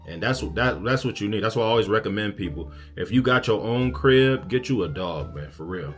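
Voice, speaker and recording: A man's voice: on the low side, animated, brisk, fairly bright tone, soft background music. A man hosting a reaction video, giving casual, slangy commentary in an emphatic, conversational way.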